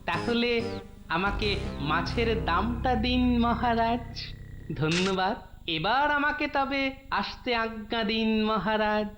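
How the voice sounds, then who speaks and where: A performer voicing a calm, clever male court jester in a cartoon, respectful and self-assured. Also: mid-range voice, slow pace, reverberant, music in the background, close to an everyday voice. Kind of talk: Bengali cartoon voice acting.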